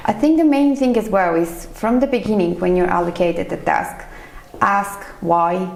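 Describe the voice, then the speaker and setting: A woman's voice: fairly low pitch, normal speed, gravelly, reverberant. A woman from Bulgaria in her thirties, a finance professional, answering interview questions in a thoughtful, hesitant way with many fillers. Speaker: chief financial accountant, interview guest. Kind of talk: casual podcast interview.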